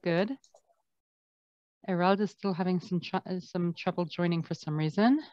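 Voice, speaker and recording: A woman's voice: lowish voice, moderate pace, darkish tone, dry recording. A woman informally hosting an online class over a video call. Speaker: online course host. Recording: muffled video call audio.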